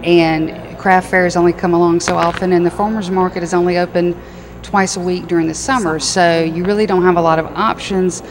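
A woman shop owner speaking casually and briskly in a matter-of-fact way about her store's local vendors. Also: lowish voice, level tone, background noise. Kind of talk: casual chat.

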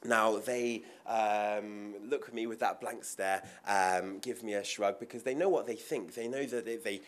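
A young man giving a conference presentation at a podium, informal, with frequent ums and quick asides. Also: fairly low pitch. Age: young adult. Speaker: university international officer. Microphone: podium mic.

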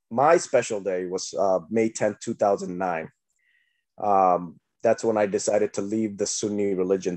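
A young man with a lowish voice shares his story earnestly on a video call. He leans on fillers and agreement-seeking asides. The sound is dry and close. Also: a little mellow, no echo. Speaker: guest sharing his story. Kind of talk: casual personal testimony on a video call. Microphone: laptop webcam mic on video call.

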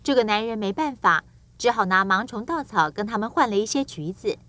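A woman's voice: medium pitch, animated, a little fast, bright, forceful tone. A woman reading scripted narration for a children's storybook tale. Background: music.

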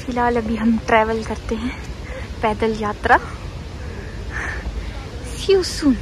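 A woman's voice: fairly high pitch, slow pace. A bubbly young woman talking straight to her viewers on a travel vlog, filming a phone selfie outdoors on a mountain road. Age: young adult. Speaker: vlogger. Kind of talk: casual travel vlog chat. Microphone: phone selfie at arm's length. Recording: loud outdoor traffic and vehicle noise.

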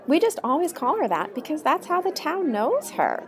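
A woman's voice: high voice, sing-song, normal speed, some chatter behind. A woman playing a naive, devoted young sweetheart with earnest melodrama in a satirical Christmas radio play. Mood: sweetly entranced.

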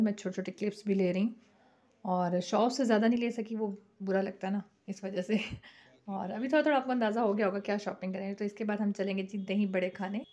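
Chatty woman vlogging on her phone, mellow voice, kinda dull muffled sound. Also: medium pitch. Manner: chatty, addresses viewers with frequent "ji". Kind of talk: casual vlog narration. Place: city streets and bazaar at night, then indoors at home. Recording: dull lo-fi phone audio with faint street noise.

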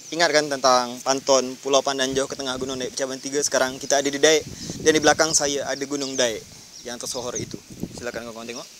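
Man, young, travel vlogger, rapid speech, handheld mic, outdoors with faint noise. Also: mid-range voice, steady tone, fairly bright tone. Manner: brisk, chatty, asks quick questions. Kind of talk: travel vlog with interview. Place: outdoors by a village road. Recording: light outdoor background noise.

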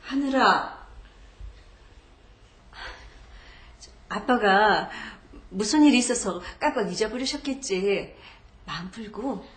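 A woman's voice: medium pitch, lively intonation, a little slow, natural voice. An actress playing a middle-aged mother in a children's television drama, coaxing yet firm and easily exasperated, recorded indoors. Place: large living room indoors. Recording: echoey indoor room.

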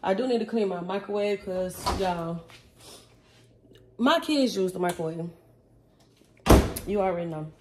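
A woman's voice: fairly low pitch, unhurried, pauses often, very noisy. A young woman chatting casually to viewers about her kids while filming herself on her phone in a home kitchen. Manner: chatty, confiding, talks to viewers as y'all. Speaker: vlogger, mother of five. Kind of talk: casual vlog chat. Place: home kitchen and pantry, indoors. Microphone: phone camera, handheld or propped up.

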